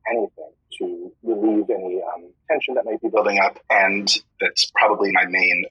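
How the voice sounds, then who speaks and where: Husky, halting monk. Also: male voice, fairly low pitch, dull audio. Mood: nervous, smitten. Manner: halting, nervous, confessional. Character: earnest, awkward, tempted male Buddhist monk caller. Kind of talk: scripted comedy radio call-in acting.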